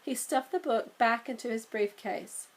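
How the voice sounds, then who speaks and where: A woman reading a children's storybook aloud in an expressive, measured way. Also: fairly high pitch. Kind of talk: storybook read-aloud narration.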